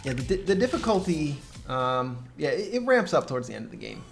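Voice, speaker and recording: A man's voice: fairly high pitch, very animated, brisk, gravelly. A man giving casual, explanatory commentary on a co-op video game, feeling his way through his sentences. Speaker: gaming video host. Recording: game music behind the voice. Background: game music.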